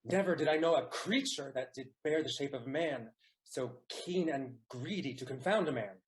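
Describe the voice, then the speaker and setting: An actor playing a grave, loyal gentleman messenger in a Shakespearean audio play, speaking formally. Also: medium pitch, moderate pace, slight background noise, lightly acted. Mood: grave, indignant. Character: grave, loyal Venetian gentleman messenger bearing bad news.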